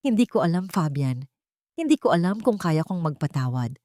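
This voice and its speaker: A woman's voice: fairly low pitch, sing-song, normal speed, warm tone, no room sound, clean studio sound. A woman with a Filipino accent voicing a hurt, torn mother, pained and hesitant, in a dramatic story.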